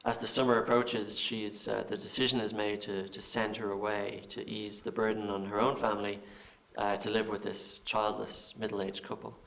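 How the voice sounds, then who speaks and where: An Irish film director answering interview questions, halting and repeating words as he thinks. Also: fairly low pitch, flat delivery, creaky, a bit bright. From Ireland.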